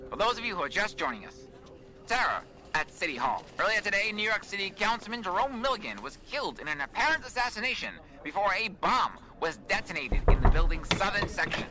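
An actor playing an urgent, formal radio newsreader delivering a bulletin in a radio drama. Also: male voice, sits high, big pitch swings, fast, music in the background, poor audio. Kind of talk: old-time radio news bulletin acting.

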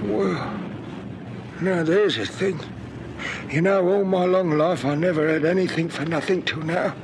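An actor playing an elderly, poor, humble man in a radio drama, rambling and halting in rustic ungrammatical speech. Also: sits high. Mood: puzzled, touched. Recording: noisy old radio drama recording.

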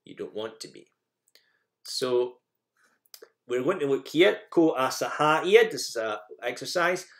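A man's voice: medium pitch, expressive, a little slow, pauses often. A man presenting a language lesson in an informal, instructional manner, guiding learners through slides and examples.

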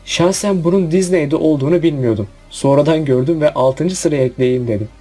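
A man's voice: on the high side, brisk, mellow. A man narrating a scripted countdown review. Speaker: video narrator. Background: background music.

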